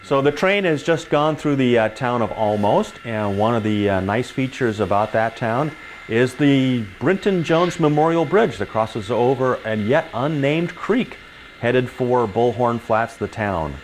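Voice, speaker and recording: A man's voice: medium pitch, animated, measured pace, a little hiss or hum. An older man giving an informal, explanatory tour of a model railroad layout as its hobbyist guide.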